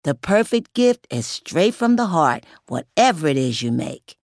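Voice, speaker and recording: A man's voice: a little high, sing-song, unhurried, vocal fry, no echo, clean studio sound, voice pushed up, exaggerated voice. A man reading a storybook aloud and voicing a cheerful young boy who loves to sing. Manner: upbeat, bouncy, sing-song.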